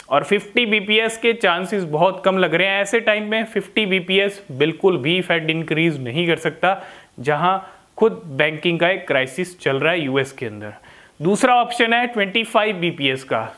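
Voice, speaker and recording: High-pitched Indian man explaining markets. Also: fairly bright tone. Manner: explanatory, checks in with frequent tag questions. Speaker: crypto vlogger. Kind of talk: informal market commentary to camera. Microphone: lapel mic.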